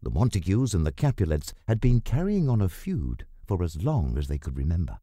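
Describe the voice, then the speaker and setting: A man reading scripted storybook narration in a dramatic, deliberate manner. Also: deep voice, very animated, mellow. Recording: music behind the voice. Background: plucked guitar music.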